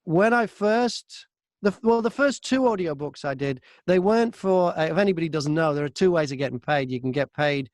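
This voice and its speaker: A man with a fairly high voice talks casually as a guest in an interview. He tells work stories in a conversational way, voicing bits of remembered exchanges. The recording is dry, with very little room sound. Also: moderate pace, no echo. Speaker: interview guest, audiobook narrator and voice actor.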